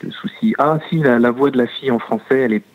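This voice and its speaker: A man giving casual feedback as a customer, halting often to search for words. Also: medium pitch. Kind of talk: casual spoken phone feedback. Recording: tinny, narrow phone line.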